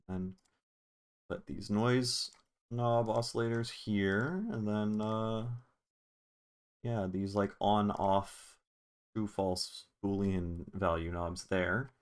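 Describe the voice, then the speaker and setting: A man with a fairly low voice talks through a music production tutorial. He is casual and offhand, informal in his wording, with the occasional easy swear. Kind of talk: casual screen-recorded software tutorial.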